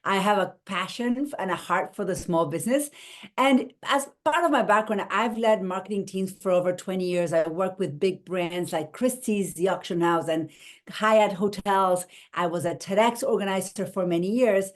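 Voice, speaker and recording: A woman's voice: medium pitch, moderate pace. Argentine-accented woman explaining her work. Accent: Argentine Spanish. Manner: earnest and explanatory, with restarts. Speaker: guest, marketing strategist. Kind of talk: interview over a call.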